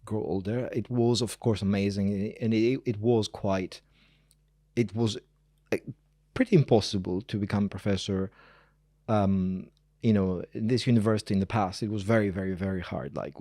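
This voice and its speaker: A man's voice: lowish voice, warm tone, no echo, studio quality. A man, a professor talking casually and digressively as an interview guest. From Greece. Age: 40s. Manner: informal, digressive, emphatic repetition.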